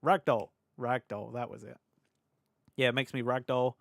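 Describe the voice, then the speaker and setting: A young British man streaming live, rambling and laughing as he chats with his audience, his voice a little hoarse from hours of talking. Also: medium pitch, steady tone, no echo. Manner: chatty, scattered, quick to laugh. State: slightly hoarse. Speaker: VTuber streamer. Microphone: desk mic on a boom arm.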